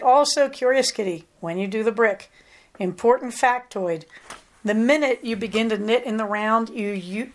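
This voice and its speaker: A middle-aged American woman hosting a podcast, talking in a chatty, rambling way with plenty of asides. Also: fairly high pitch. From United States. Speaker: podcast host. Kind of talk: casual solo podcast chat.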